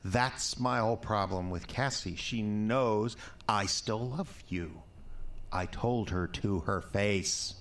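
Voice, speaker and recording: Slow, low-voiced, pleading unstable ex-boyfriend. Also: male voice, a bit bright. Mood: drunk, maudlin. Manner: halting, stammering, wheedling delivery. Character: unstable, pleading, drunken ex-boyfriend, clingy and self-pitying. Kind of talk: audio drama acting.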